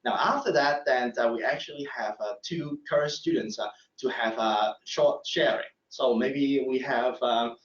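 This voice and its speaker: A middle-aged man, a professor giving a live admissions webinar, speaking haltingly with many fillers and restarts. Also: mid-range voice, a bit bright. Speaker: professor presenting admissions talk. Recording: echoey room, distant mic, dull sound.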